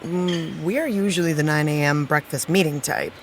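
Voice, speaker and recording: A woman's voice: low-pitched, moderate pace, a little hiss or hum. A woman playing a wry, candid actress and supportive friend in an audio drama, with dry, teasing, naturalistic delivery. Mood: teasing, casual.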